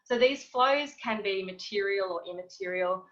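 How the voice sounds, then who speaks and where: A woman's voice: mid-range voice, normal speed, a bit bright. Woman reading a talk over dull audio. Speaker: panel speaker, researcher. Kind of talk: reading a prepared academic talk. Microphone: video call. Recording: dull lo-fi video call audio.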